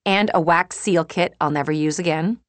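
A woman's voice: fairly low pitch, moderate pace, no echo, natural voice. A woman voicing an earnest, fretful young woman in audiobook dialogue. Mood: defensive, animated.

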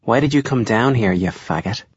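A man's voice: on the low side, normal speed, breathy edge, no room sound, muffled recording, pitched below the performer's own voice. A man voicing a cruel, threatening teenage bully. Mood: menacing. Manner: sneering, threatening.

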